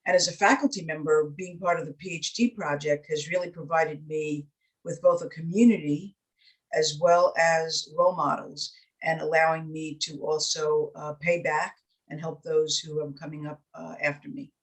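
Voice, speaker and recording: A woman's voice: sits low, steady tone, normal speed, low-quality recording. New lady, fifties, chatty panel intro on a video call, lots of ums. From New York City, Puerto Rican heritage. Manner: warm, personal, with frequent "um". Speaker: university provost, panelist.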